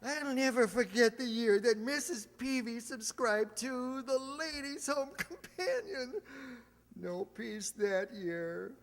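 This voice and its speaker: An actor playing a mild, henpecked small-town druggist in an old-time radio comedy, with a hesitant, deadpan, folksy delivery. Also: male voice, extremely high pitch, slow pace, exaggerated voice. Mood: dry, mild. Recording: reverberant hall, stage re-enactment.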